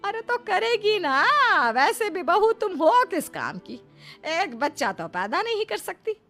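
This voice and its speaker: A woman's voice: very high-pitched, sing-song, measured pace, a bit bright, soft background music, voice pushed up, exaggerated voice. A woman voicing a domineering, stubborn older mother-in-law who scolds and commands in a family cartoon. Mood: commanding. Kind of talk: Hindi family cartoon acting.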